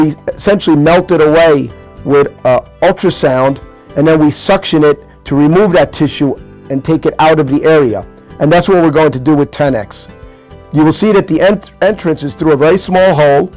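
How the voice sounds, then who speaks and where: Middle-aged surgeon explaining calmly. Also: male voice, fairly high pitch, rough recording. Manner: calm, explanatory, with frequent fillers and restarts. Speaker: orthopedic hand surgeon. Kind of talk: explaining a medical procedure. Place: clinic office, seated at a desk. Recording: soft music underneath.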